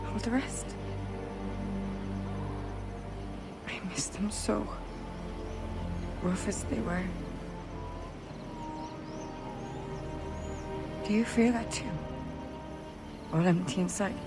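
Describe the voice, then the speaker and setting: An actress playing a hard-living pirate woman in a video game, speaking plainly with rueful, wistful grief. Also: a little high. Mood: grieving. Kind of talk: video game cutscene acting. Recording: music behind the voice.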